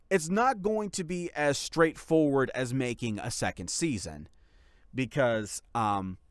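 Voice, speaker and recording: A man's voice: medium pitch, clear, resonant tone, no echo, studio quality. A man speaking casually as a channel host, giving a rambling, explanatory update to followers. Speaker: ASMR voice actor and channel host.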